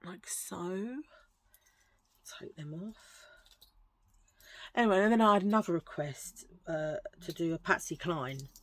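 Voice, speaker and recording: A husky, low-pitched woman talks through her charity-shop finds in a loose, rambling way, full of fillers and jokes at her own expense. She sounds middle-aged and casual, as if chatting to friends at home. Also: unhurried, pauses often, no echo. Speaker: vlogger showing a thrift haul. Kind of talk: casual chatty haul video.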